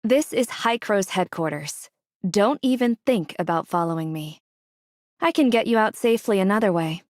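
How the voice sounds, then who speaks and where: A cold, calculating woman of an anime game, played by an actress. Her voice sits at a medium pitch, close and dry. She speaks with controlled condescension and a cutting edge. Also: animated, normal speed, no echo, lightly acted. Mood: cold, commanding. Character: cold, scornful, scheming female mastermind. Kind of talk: scripted anime game dialogue.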